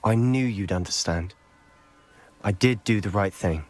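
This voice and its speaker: A man's voice: on the low side, unhurried, darkish tone. Earnest, troubled British wizard boy. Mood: resolute. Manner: earnest, emotionally intense. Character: troubled teenage wizard boy, guilt-ridden, defensive, devoted brother. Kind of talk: dramatic video game cutscene acting.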